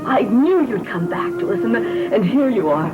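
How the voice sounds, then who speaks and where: A woman's voice: a little high, sing-song, normal speed. A woman playing an emotional, breathless grown daughter overwhelmed by her father's return in a 1960s gothic soap. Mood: tearful, elated. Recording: dull old TV soundtrack, loud music.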